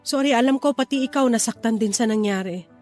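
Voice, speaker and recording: A woman's voice: fairly high pitch, fast talker, a little mellow, close to an everyday voice. A woman acting a loving Filipino wife and mother in a radio drama. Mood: grieving, tired. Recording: music bed under the voice.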